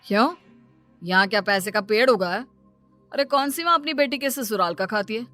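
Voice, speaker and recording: A woman's voice: a little high, lively intonation, normal speed, sharp tone, faint music behind. A woman voicing an exasperated, easily annoyed husband who lectures, in a cartoon story.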